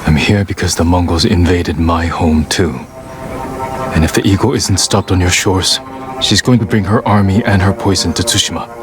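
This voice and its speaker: A man acting as a stoic, determined samurai in a video game, earnest and proud, speaking in a grave, measured, formal way. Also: deep voice, steady tone, lightly acted. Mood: resolute. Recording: clear ambient noise behind the voice.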